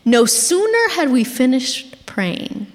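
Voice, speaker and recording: A woman gives an earnest, chatty sermon in a church sanctuary. Her voice sits a little high, and she keeps turning to the congregation with questions. A long hall reverb trails every phrase. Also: very animated, takes it slowly. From Argentina. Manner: earnest, conversational, asks the audience questions. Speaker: guest speaker. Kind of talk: sermon storytelling.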